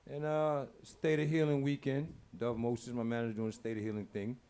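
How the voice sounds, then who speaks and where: A man's voice: medium pitch, little pitch movement, fairly quick, warm tone, smooth. A man who works as a DJ, chatting casually in a laid-back, slangy way. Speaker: show DJ and DJ class teacher. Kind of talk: casual chat.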